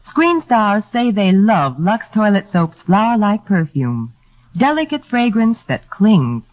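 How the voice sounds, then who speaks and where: A woman's voice: medium pitch, sing-song, takes it slowly, dry recording. A woman announcing a 1940s radio commercial in a selling style. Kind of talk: 1940s radio advertising pitch. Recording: dull, dry vintage radio recording.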